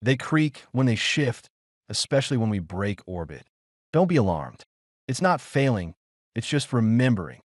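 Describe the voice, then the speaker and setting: A man voicing a gentle, thoughtful scholar and pilot in a sci-fi audiobook, earnest and careful. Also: medium pitch, sing-song, normal speed, no echo, studio quality. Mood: gently reassuring. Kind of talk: sci-fi audiobook character acting.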